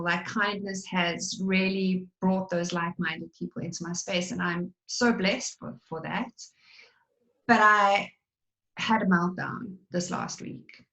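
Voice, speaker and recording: A woman hosting an interview, talking candidly and with self-deprecating humour in a relaxed conversational way. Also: lowish voice, roomy sound, highs cut off. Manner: candid, self-deprecating, talks about herself freely. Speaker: podcast host.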